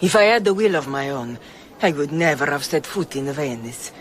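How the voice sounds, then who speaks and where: An actress in a radio drama playing an enigmatic, scheming noblewoman who speaks in a deliberate, theatrical way. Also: low-pitched, animated. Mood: brooding, fatalistic. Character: enigmatic, fatalistic foreign noblewoman, scheming and brooding.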